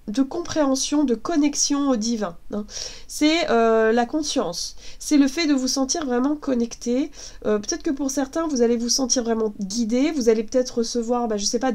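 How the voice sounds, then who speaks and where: A woman with a congested head cold gives a casual tarot reading, gentle and reassuring, hesitating often with fillers and restarts. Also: fairly high pitch. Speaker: tarot card reader.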